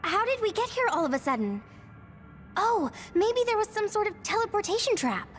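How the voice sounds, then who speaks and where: An actress playing a spirited, earnest young heroine in a video game, with an expressive, concerned manner. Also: female voice, very high-pitched, normal speed, soft background music. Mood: puzzled concern.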